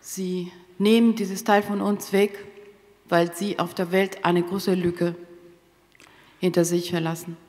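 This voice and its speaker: A woman's voice: lowish voice, flat delivery, darkish tone. Careful woman interpreter in a reverberant hall. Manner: careful, halting short phrases with rephrasing. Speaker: consecutive interpreter. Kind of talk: solemn spoken interpretation of a eulogy. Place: theatre stage. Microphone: microphone on a stand.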